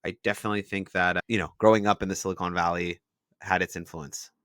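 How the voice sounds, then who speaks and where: A man from Israel and the Bay Area tells his startup story in a relaxed interview, leaning on "like" as he explains. His voice is low and bright, with a forceful edge. The recording is dry and close. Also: fast, smooth, no echo. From Israel and the San Francisco Bay Area. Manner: explains things with examples, frequent "like" fillers. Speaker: startup founder, guest.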